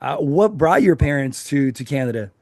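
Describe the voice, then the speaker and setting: A man's voice: medium pitch, no echo, studio quality. A man hosting a podcast interview, gushing and enthusiastic. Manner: excitable, gushing, fast. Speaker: podcast host.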